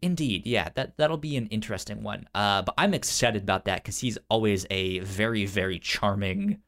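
A man with a stammer chatting casually and enthusiastically as a podcast co-host, often halting on repeated sounds. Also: lowish voice, fairly bright tone. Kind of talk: casual unscripted podcast chat about entertainment news.

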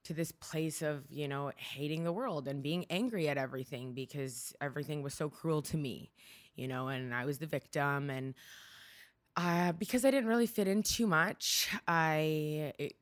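A woman's voice: low-pitched, moderate pace. A Canadian woman speaking as an interview guest, telling personal stories in a candid, reflective way. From Ontario, Canada.

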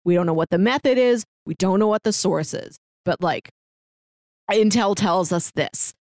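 A woman with a medium-pitched voice chats casually as a podcast co-host, talking through a story. The sound is dry, with no room echo around her. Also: normal speed, a little mellow. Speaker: podcast co-host discussing an audio drama. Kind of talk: casual chat, recapping a story.

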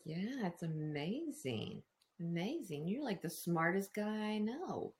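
A woman's voice: medium pitch, big pitch swings, takes it slowly, darkish tone. A woman hosting a casual interview show, enthusiastic and affirming toward her guest. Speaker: podcast host.

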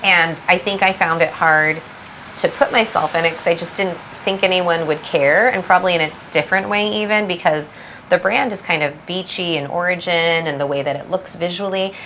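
Calm california woman, pretty low voice, thinks out loud, says "like" a lot, faint room hiss. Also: normal speed, rough recording. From Southern California, US. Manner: reflective, thinks aloud, frequent "like" and "I think". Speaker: guest, brand founder. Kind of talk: casual podcast interview.

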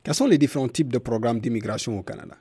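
A man hosting a radio interview show, formal and a little halting. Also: mid-range voice, mellow, breathy edge, no echo, studio quality. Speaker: radio host.

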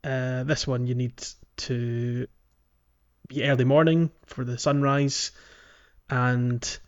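A man's voice: mid-range voice, level tone, slow pace, clear, resonant tone, lo-fi sound. A man chatting casually as a gaming podcast host, walking through things in a hesitant, explanatory way.

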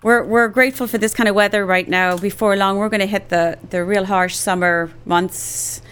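A woman's voice: mid-range voice, steady tone, normal speed, fairly bright tone, clear, resonant tone. A woman in her late forties from Ireland with a Cork accent, hosting a live-streamed show in a chatty, rambling way with many fillers. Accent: Irish, Cork. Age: 40s. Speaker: host. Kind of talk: live-streamed casual chat.

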